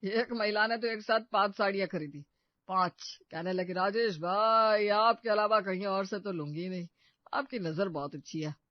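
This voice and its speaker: A man voicing a jovial, boastful older father who runs a shop, bragging in a showy, drawn-out way in a story cartoon. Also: sits high, no echo, dull audio, lightly acted. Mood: showy, delighted.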